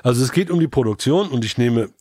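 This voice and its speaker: A man's voice: mid-range voice, animated, fast talker, a little mellow, husky, dry recording. A man with a mid-range voice chats casually as a podcast co-host. He is colloquial and a little cheekily self-important, recorded dry and close. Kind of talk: casual panel chat.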